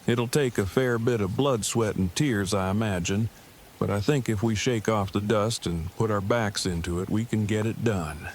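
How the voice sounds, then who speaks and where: A man acts out a bookish survivor in an audio drama with a low voice. He is earnest and socially stiff, with long, precise, formal phrasing. The recording has clear background noise. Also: moderate pace, natural voice. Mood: matter-of-fact. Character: earnest, awkward, bookish man in a post-apocalyptic survivor camp. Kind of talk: fan audio drama acting.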